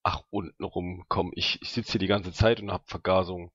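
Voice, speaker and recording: A man's voice: low-pitched, fairly even intonation, moderate pace, a bit bright, breathy edge, no echo, muffled recording. A man hosting and playing in a tabletop role-playing podcast, chatting casually with crude, jokey banter. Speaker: podcast host and player.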